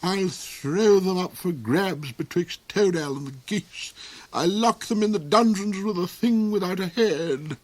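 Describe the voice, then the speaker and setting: A man's voice: high-pitched, unhurried, voice pushed up. A man voicing a cruel, cold, ranting old duke, shrieking, snarling and cackling in an audiobook reading. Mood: menacing. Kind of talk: audiobook character voice.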